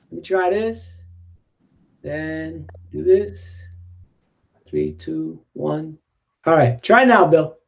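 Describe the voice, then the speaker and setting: A man hosting an online community show over a video call, casual and joking while sorting out audio trouble. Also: sits high. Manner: upbeat, joking while fixing tech trouble.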